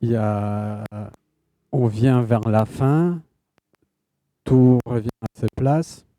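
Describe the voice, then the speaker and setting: A man with a Polish accent, an author answering audience questions in a halting way, often stopping to search for words. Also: lowish voice, mellow, no room sound, studio quality. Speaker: guest author.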